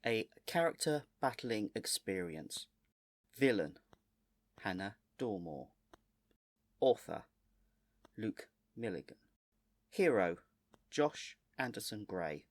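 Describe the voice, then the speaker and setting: Credits announcer, male: low register, unhurried delivery, dry close sound. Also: big pitch swings, no room sound. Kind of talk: scripted credits narration.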